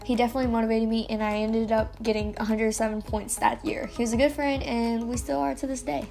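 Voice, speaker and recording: A woman's voice: a little high, little pitch movement, fast, gravelly, warm tone. A teenage girl from Louisiana narrating the story of her life in a chatty way, often saying "like". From Louisiana, USA. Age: teens. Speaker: YouTuber. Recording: music playing underneath.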